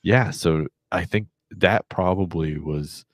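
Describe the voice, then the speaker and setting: Guy in his 40s, real low voice, dry sound, rambles and restarts a lot, casual podcast talk. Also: slow pace, no echo.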